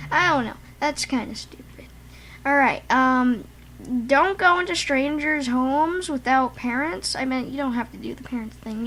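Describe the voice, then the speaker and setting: A woman co-hosting a casual show, rambling and halting with frequent restarts. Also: sits high, a bit bright, slight background noise, rough recording. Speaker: podcast co-host. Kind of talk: casual chat.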